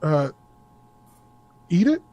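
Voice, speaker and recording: A woman's voice: low-pitched, very animated, extremely slow, warm tone, muffled recording, heavily acted. A woman playing a chatty, kindly, teasing care worker in an audio drama, playful and coaxing.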